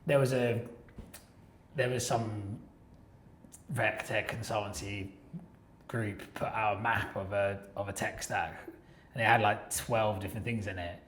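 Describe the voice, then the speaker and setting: A man's voice: on the low side, fairly even intonation, measured pace, long pauses, a bit bright, a little hiss or hum. A man in a casual podcast discussion, speaking informally and with opinion, acting out remarks he quotes. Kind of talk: casual industry chat. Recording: echoey room sound.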